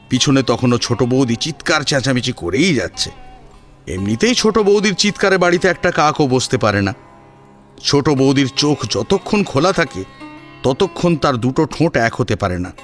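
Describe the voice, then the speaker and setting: প্রাণবন্ত সুরে গল্পপাঠক পুরুষ, পেছনে বাজনা. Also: male voice, mid-range voice. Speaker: narrator. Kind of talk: expressive scripted story narration. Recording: music under the voice.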